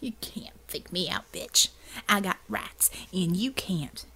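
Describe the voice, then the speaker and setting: A woman's voice: fairly low pitch, animated, takes it slowly, bright, forceful tone, breathy edge, whispered, heavily acted. Woman acting a coarse, agitated biker prisoner. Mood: defiant. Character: sullen, defiant male biker rebel prisoner.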